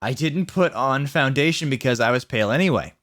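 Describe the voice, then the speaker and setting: A man's voice: mid-range voice, expressive, brisk, a bit bright, lightly acted. Man reading as a melodramatic goth teenage vampire girl. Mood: dramatic, gloomy. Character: gothic seventeen-year-old girl vampire witch, self-absorbed, melodramatic.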